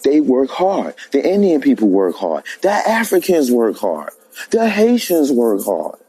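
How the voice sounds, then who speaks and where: A man's voice: medium pitch, huge pitch swings, a little slow, warm tone, husky, no room sound. A man calling in, emphatic and preachy, who says "man" often. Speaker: caller. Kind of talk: casual opinion rant.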